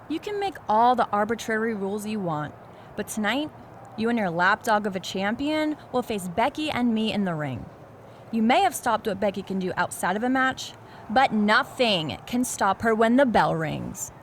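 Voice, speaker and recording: A woman's voice: a little high, expressive, normal speed, a little hiss or hum, close to an everyday voice. A woman playing a defiant, righteous wrestler in a video game, delivering a firm, challenging promo. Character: defiant, righteous female wrestler acting as spokeswoman.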